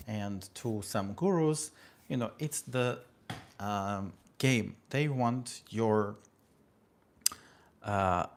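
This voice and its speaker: A man from Armenia hosting a relaxed livestream and chatting with his viewers. He thanks them by name, drifts into philosophy and fills his pauses with uh and um. His voice sits at an ordinary middle pitch for a man. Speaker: livestream host. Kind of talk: casual livestream talk reading viewer comments. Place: home in California.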